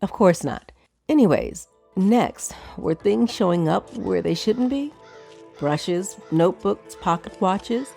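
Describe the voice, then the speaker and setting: A woman playing a brisk, businesslike wizard who owns a tower, in a fantasy audio drama. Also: medium pitch, animated, gravelly, mellow, soft background music. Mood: brisk, matter-of-fact.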